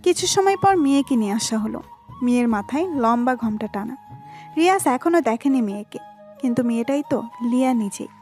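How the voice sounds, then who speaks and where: A woman's voice: on the high side, animated, fairly quick, a little mellow. A woman reading scripted narration for a romantic story. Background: music.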